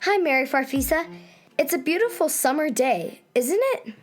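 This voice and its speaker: A woman's voice: high-pitched, lively intonation, moderate pace, faint music behind. An actress playing a giant, hot-tempered and boastful inflatable summer girl in a children's radio play, with a dramatic, exclaiming delivery. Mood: breezy, woozy.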